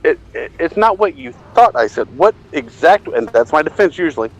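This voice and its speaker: A man's voice: on the high side, very animated, a little fast, low-quality recording. A middle-aged man telling a rambling, self-deprecating anecdote in a casual way, acting out bits of dialogue. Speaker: storyteller.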